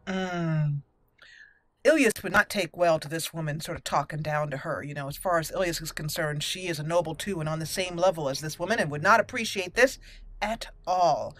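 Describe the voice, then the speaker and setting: A woman hosting a roleplay playthrough, musing through her commentary and deliberately voicing dialogue choices. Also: low-pitched, fairly bright tone. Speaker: gaming channel host. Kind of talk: roleplay game commentary, reading dialogue in character.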